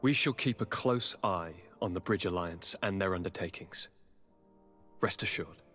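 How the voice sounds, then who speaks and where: An actor playing a gracious, polished aristocratic governor in a video game, with ornate, polite manners. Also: male voice, low-pitched, moderate pace, fairly bright tone. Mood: sympathetic. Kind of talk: video game cutscene acting. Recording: background music with brass.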